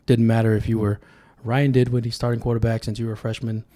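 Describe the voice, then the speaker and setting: A man from Sacramento, California, whose first language was Tongan, speaks as a podcast guest. His voice is dark and mellow, sitting at an ordinary middle pitch. He talks in a relaxed, reflective way and tells stories as he goes. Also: quick pace. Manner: reflective, storytelling. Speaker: podcast guest, political candidate. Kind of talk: casual podcast conversation.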